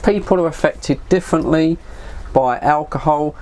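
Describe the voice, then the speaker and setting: A man's voice: on the high side, normal speed, darkish tone. A middle-aged man with an English accent chats casually and ramblingly to viewers, self-deprecating and mildly sweary. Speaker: hobbyist vlogger and CB radio enthusiast. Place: shed.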